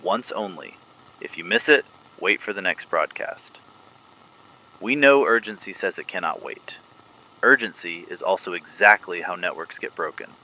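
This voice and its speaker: A low-voiced resistance broadcaster played by a man in a dystopian audio drama. He is firm and guarded, with a very sharp, forceful tone. His instructions come in short, deliberate sentences. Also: rough recording. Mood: firm, instructive.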